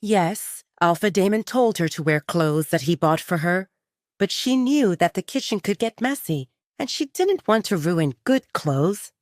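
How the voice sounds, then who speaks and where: A woman's voice: fairly low pitch, lively intonation, measured pace, a little mellow, no echo, studio quality. A woman narrating a scripted romance story for an audio drama.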